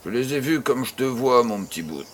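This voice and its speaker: An actor playing an affectionate, teasing old farmer grandfather who tells tales in an unhurried way in an audio drama. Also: mid-range voice, expressive, slight background noise, lightly acted. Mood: insistent. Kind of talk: French-language audio drama acting.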